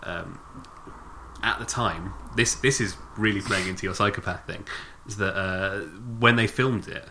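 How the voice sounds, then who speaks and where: Male co-host, bright low voice, wry and profane in casual review chat, faint background hiss. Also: steady tone, unhurried. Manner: sarcastic, swears, laughs often while recapping. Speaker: podcast co-host.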